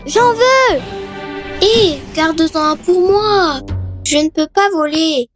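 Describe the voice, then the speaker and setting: An actor voicing an impish, excitable little fairy girl with childlike delivery in a children's cartoon. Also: very high-pitched, slow, over loud music, heavily acted. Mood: gleeful, excited. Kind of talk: French-dubbed children's cartoon acting.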